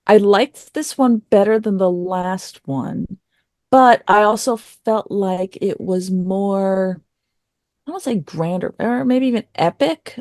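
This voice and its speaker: A woman with a soft-toned, mellow voice speaks slowly on a podcast. She reviews casually and a little tentatively, thinking aloud, in a dry close recording. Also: mid-range voice, dry recording. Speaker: podcast co-host reviewing audio dramas.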